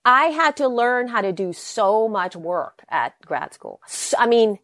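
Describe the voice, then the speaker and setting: A woman's voice: a little high, very animated, measured pace, a bit bright, no room sound. A woman from El Salvador, a native Spanish speaker with a slight Spanish accent, talking earnestly in a casual podcast chat. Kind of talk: casual conversation.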